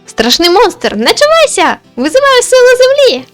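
Woman voicing a young magical girl, very high voice, brave, urgent, emphatic, visual novel heroine, music behind. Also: huge pitch swings, normal speed, full character voice. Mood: battle cry. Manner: emphatic, exclamatory battle cries. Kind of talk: dramatic visual novel voice acting.

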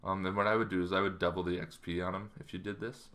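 A man in his thirties giving a casual, rambling explainer to camera, halting as he goes, in a small bedroom. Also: deep voice, flat delivery, fairly bright tone. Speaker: host of a tabletop roleplaying channel.

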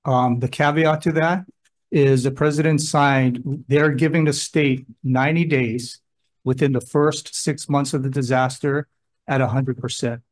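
Man, mid-pitched voice, official briefing senators, casual plain speech, hearing room. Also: steady tone, unhurried. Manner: plain-spoken, conversational, gives examples. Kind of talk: testimony at a legislative hearing.

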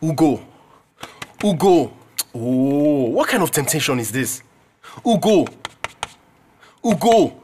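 A man's voice: fairly high pitch, very animated, slow, long pauses, darkish tone, a little hiss or hum. An actor with a Nigerian accent playing an anxious, reproachful young male student in a radio drama. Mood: alarmed, reproachful.

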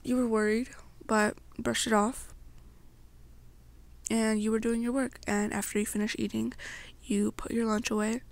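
A young woman narrating an ASMR story to the listener in a halting way, pausing between short phrases. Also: on the high side, little pitch movement, gravelly. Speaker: amateur roleplay narrator.

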